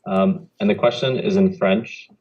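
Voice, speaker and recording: A man's voice: lowish voice, steady tone, unhurried, a little mellow, breathy edge. A man moderating a student debate, reading questions in brief, procedural turns. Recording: dull lo-fi.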